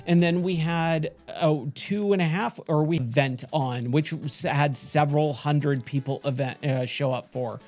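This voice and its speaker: A man streaming late at night, chatting casually with viewers in a hoarse voice that is going. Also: fairly high pitch, measured pace, few pauses, a little mellow, faint music behind. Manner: chatty, rambling, frequent self-corrections. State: hoarse, losing his voice.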